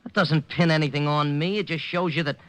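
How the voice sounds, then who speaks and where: A man's voice: fairly high pitch, fairly even intonation, brisk, a bit bright, no room sound, lightly acted. A man playing a cocky, defiant newspaper columnist under suspicion, scoffing in old-time radio style. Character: cocky, scoffing male newspaper columnist, slick crime suspect. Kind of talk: 1940s-50s radio detective acting.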